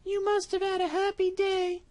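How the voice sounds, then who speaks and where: A tiny, trusting storybook mouse with a squeaky, excitable voice. It sits very high above her own voice, loud and bright with eagerness. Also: normal speed. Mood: curious.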